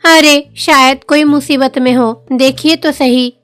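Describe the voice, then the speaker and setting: A gentle poor village wife. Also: female voice, high-pitched, fairly even intonation, unhurried.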